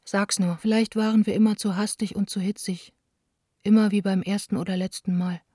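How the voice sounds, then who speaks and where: A mellow, medium-pitched female voice from an actress plays an impulsive young woman in love. She reads her radio-play letter in a hurt, plaintive, intimate way, with a dry studio sound. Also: little pitch movement, brisk, breathy edge, dry recording, lightly acted. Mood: hurt, longing. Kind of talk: radio drama letter reading.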